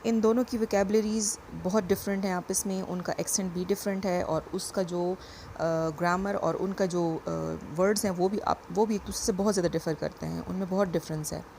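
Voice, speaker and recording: A woman teacher with a voice, explaining a lesson patiently and reading English definitions out slowly. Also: mid-range voice, steady tone, darkish tone, slight background noise. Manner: explains patiently, repeats points, reads English lines slowly.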